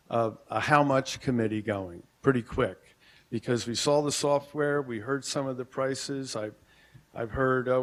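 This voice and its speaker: Male business owner, public comment; plainspoken, halting, cautious, podium mic in council chamber. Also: medium pitch. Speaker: merchants association president speaking at public comment.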